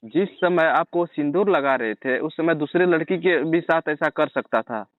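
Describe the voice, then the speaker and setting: Tinny phone call, fairly high male voice, blunt, badgering, accusing interviewer. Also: moderate pace, no room sound.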